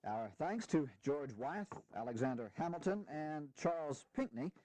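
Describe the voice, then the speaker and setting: A man's voice: on the high side, animated, normal speed, mellow, no room sound. An actor playing a reluctant, dryly humorous retired general and statesman in a historical audio drama, formal and wryly grumbling.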